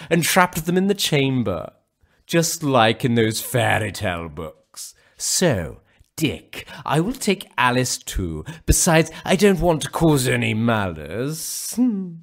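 A man playing a gloating rat king villain in a radio pantomime, with sly, theatrical taunts and drawn-out words. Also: mid-range voice, very animated, heavily acted. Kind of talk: pantomime radio play acting.

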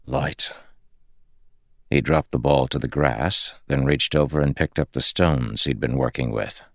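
A man's voice: rumbling low, moderate pace, vocal fry, dry recording, studio quality. A man reading fantasy fiction as an audiobook narrator, in a scripted storytelling style.

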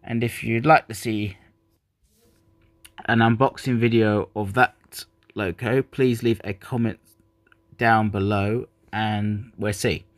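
A man's voice: lowish voice, steady tone, takes it slowly, no echo. A man who is not a native English speaker, chatting casually while unboxing a model train, rambling and correcting himself.

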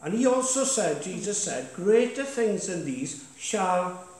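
A man's voice: sits high, very animated, unhurried, a little mellow. Emphatic man preaching in an echoey church. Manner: emphatic, declaring, asks the congregation questions. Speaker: pastor leading worship. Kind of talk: sermon exhortation. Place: church sanctuary.

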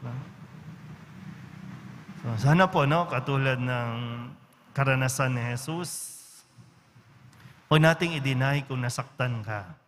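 A man with a Filipino accent, a priest giving a homily in a relaxed, conversational way, often checking in with his listeners. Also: medium pitch, measured pace, pauses often. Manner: conversational, with frequent tag questions. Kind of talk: Sunday-style homily. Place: large church. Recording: church reverb with faint background noise.

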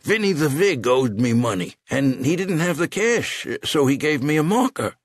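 A man's voice: fairly high pitch, very animated, normal speed, breathy edge, no room sound, studio quality, voice pushed up. A man voicing a frightened elderly bookmaker in an audiobook, pleading and desperate. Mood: anxious bargaining. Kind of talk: audiobook character voice.

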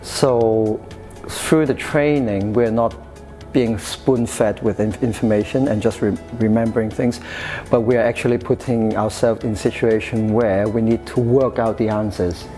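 A man's voice: lowish voice, steady tone, measured pace, warm tone, faint music behind. A man in his thirties from Hong Kong, raised in the UK, answering interview questions about his studies in a hesitant way, with frequent fillers and restarts. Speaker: interview guest, chef. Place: café-style room with sofa, indoors.

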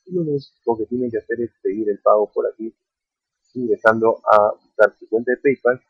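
Guy doing a screen recording tutorial, husky, sounds like a phone line. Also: lowish voice, brisk, no echo. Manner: repeats "ok?" often, addresses viewers as friends. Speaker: online earning vlogger. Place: at a computer, voice-over on screen capture. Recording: dry tinny phone-like sound.

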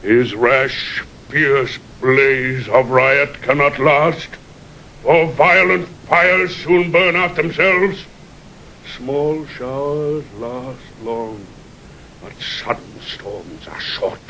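A man's voice: extremely slow, bright, forceful tone, full character voice, rough recording. An actor playing a dying old nobleman, solemn and prophetic, declaiming weighty Shakespearean verse in an audio drama. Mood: foreboding. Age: older. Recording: vintage 1960 recording with faint rumble.

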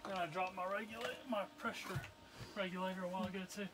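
A man's voice: high-pitched, steady tone, fast, noisy background. A plain-spoken American man in his forties, walking through a plumbing repair in a cramped utility room. He talks in a casual, matter-of-fact way, picked up by a handheld phone. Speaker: homesteader fixing plumbing. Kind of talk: casual chat. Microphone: phone camera held by another person.

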